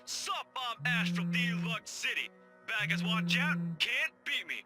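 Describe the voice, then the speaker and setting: A man's voice: sits high, animated, unhurried, pushed and bright, soft background music, full character voice. An actor playing a cocky young man chanting a swaggering, cheesy ringtone jingle in a video game.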